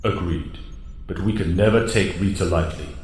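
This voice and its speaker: Male actor as stern alien sage, low voice, cavernous reverb, formal measured phrasing, audio drama. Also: a little mellow, lightly acted. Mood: grave. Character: grave, wise male alien mentor, stern and watchful. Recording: cavernous echoing hall with long reverb.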